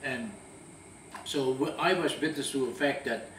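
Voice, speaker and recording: A man's voice: medium pitch, measured pace, a bit bright. A man in his eighties from Indonesia, recalling his life in an interview, often restarting and repeating words. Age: 80s. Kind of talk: recalled wartime memories, conversational. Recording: roomy echo, distant mic, faint hum.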